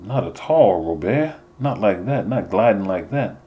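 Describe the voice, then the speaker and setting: A man's voice: lowish voice, animated, measured pace, mellow. A man voicing an eager, impatient teenage boy who is a runner, speaking with a pleading tone. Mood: wondering.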